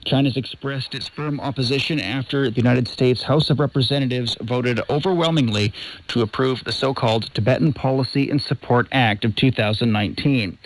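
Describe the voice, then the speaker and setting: A man reading scripted news in a formal, measured newsreader delivery, heard over shortwave radio. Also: medium pitch, rough recording. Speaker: news presenter.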